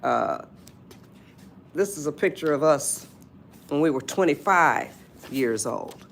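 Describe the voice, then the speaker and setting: An eighty-one-year-old woman chats on her live stream. She talks slowly and drifts between thoughts. She laughs easily and stops to greet her viewers. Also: sits low, expressive, slight background noise. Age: 80s. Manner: chatty, rambling, laughs often, greets viewers. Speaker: livestream host. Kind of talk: casual live-stream chat.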